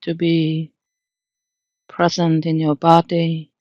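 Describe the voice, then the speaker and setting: A woman leading an unscripted guided meditation in a calm, soothing manner, leaving long pauses between phrases. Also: low voice, no echo, muffled recording.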